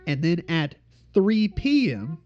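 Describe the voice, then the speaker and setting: Male study teacher, stuffy and congested, slightly higher voice, steady explainer; muffled lo-fi sound. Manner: steady explanatory teaching, pausing to repeat points. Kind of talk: informal Bible study teaching.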